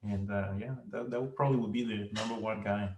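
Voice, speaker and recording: A low-pitched man speaks haltingly, restarting his sentences and leaning on fillers. He sounds set back from the mic in an echoey room. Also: steady tone, a little mellow, far from the mic, a little hiss or hum. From Venezuela. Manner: hesitant, many restarts and fillers. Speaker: ophthalmologist guest. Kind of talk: casual interview talk.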